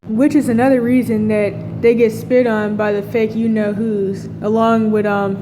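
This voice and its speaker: A woman speaks at a middle pitch in a loose, rambling monologue, full of fillers and restarts. Clear vehicle noise runs under her voice throughout. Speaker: vlogger. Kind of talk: casual monologue.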